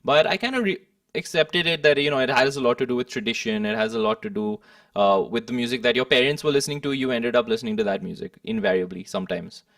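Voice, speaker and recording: Male musician, Indian accent, discursive and reflective interview delivery, wide-moving pitch, some vocal fry, a little off-mic. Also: expressive, fast, fairly bright tone, studio quality. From Kolkata, India. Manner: discursive, thoughtful, peppered with "like" and "you know". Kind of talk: casual reflective interview talk. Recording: roomy, slightly distant microphone.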